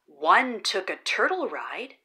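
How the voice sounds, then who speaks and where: A woman reading a children's picture book aloud as the channel host, in a slow storytelling manner with drawn-out pauses before each number. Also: mid-range voice, sing-song, pushed and bright. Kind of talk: read-aloud storytelling for kids.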